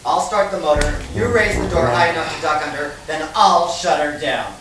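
An actor playing a brash, bullying working man with a blunt, gruff manner in a radio drama. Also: fairly high pitch, moderate pace, recorded from a distance, crowd noise, close to an everyday voice. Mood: brisk. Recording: very echoey, off-mic, noisy.

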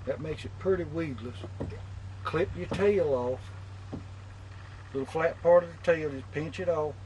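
A man with a drawl explains step by step how he rigs a lure, plain-spoken and practical. His voice sits a little high. He is recorded outdoors on a boat through a camera mic, with clear outdoor noise behind him. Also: measured pace, darkish tone. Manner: plain-spoken, instructive, talks while handling tackle. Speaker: fishing lure demonstrator. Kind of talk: casual how-to demonstration. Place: outdoors on a boat on open water. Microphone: camera mic, filmed close up. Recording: noisy outdoor camera audio.

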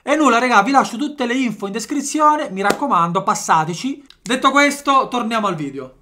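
A man in his twenties chatting excitedly as a football fan vlogger, slangy and repeating phrases for emphasis. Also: very high voice, brisk. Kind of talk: excited vlog chat and live reaction. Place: sponsor segment in a room at home, then a busy football stadium. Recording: music and crowd noise behind.

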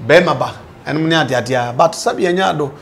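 Man, Ghanaian accent, notably high voice, political commentator, emphatic, repetitive, questioning. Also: a little fast, vocal fry, a little hiss or hum. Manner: emphatic, questioning, repeats phrases. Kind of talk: animated political discussion, switching between languages. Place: indoor studio set.

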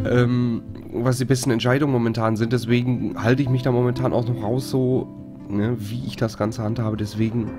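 A man streaming a game live, chatting casually and rambling with many fillers while he greets viewers. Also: mid-range voice. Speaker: let's play streamer. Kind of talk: casual live-stream commentary over gameplay.

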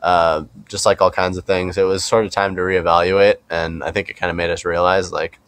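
Low-voiced young man chatting casually. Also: level tone, a little fast, a bit bright. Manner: rambling, peppered with "like". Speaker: indie rock band member, podcast guest. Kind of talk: casual chat.

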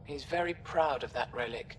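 An actress playing a grave, dignified older alien leader in a video game, speaking formally and with measure. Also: female voice, normal speed, soft background music. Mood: grave, gracious. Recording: faint low rumble behind.

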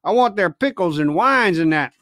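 A man's voice: high voice, animated, normal speed, pushed and bright, no echo, studio quality. A man reading a storybook, voicing an impatient, mutinous pirate coxswain who grumbles in colloquial sailor speech. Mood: impatient, grumbling.

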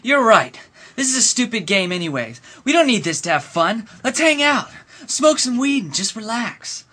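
A young slacker in a cartoon comedy, played by a man, laid-back in outlook. The voice is sharp and raspy, with lively swings in pitch. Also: normal speed, heavily acted. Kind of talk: animated dark comedy dialogue.

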